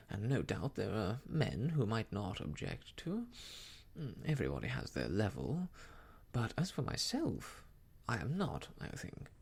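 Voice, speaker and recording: A man acting an effusive, husky, self-important gentleman suitor. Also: medium pitch, exaggerated voice. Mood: affronted, haughty. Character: ardent, vain, affronted young gentleman suitor. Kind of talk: audiobook character dialogue from a classic novel.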